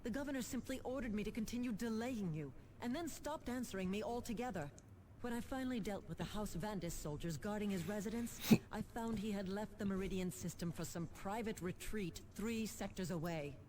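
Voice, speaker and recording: A woman playing a composed, determined government administrator in a sci-fi video game, formal and crisp with dry irony. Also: mid-range voice, brisk, background noise, lightly acted. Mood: wry, frustrated. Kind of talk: scripted video game acting.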